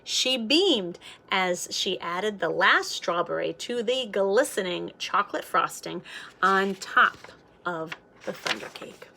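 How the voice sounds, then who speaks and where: Female storybook reader, teacherly, expressive, unhurried pace, medium pitch. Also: gravelly, slight background noise. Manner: expressive, dramatic sound words and hushed moments. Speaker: teacher reading aloud. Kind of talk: children's picture book read-aloud.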